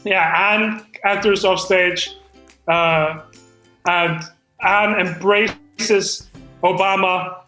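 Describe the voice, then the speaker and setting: A man in a comedic table read, halting and self-important, defensively presenting his screenplay and reading stage directions. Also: high voice, steady tone, pushed and bright. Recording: roomy echo, music behind.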